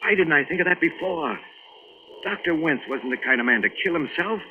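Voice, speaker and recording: A man's voice: on the high side, expressive, brisk, narrow, tinny audio, a little hiss or hum. An American man acting a tough, wisecracking adventurer in 1940s radio drama, with clipped, slangy delivery. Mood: brooding, intent. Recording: thin, band-limited old radio broadcast.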